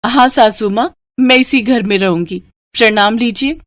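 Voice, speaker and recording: A woman's voice: a little high, normal speed, no room sound, voice pushed up, lightly acted. A woman voicing a stubborn, pampered rich young bride in a moral-story cartoon, pleading insistently and dramatically. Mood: polite.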